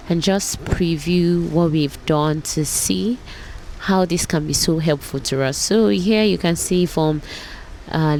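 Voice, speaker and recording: A woman's voice: lowish voice, a little mellow, a little hiss or hum, rough recording. A woman pilot and vlogger casually explaining something step by step in a tutorial.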